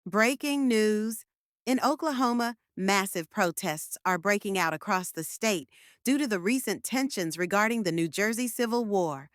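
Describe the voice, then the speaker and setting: A woman's voice: medium pitch, moderate pace, no echo, studio quality, lightly acted. A woman playing a television news reporter in a fictional emergency broadcast drama. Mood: composed, formal. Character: female TV news reporter panicking in sudden deafening pain.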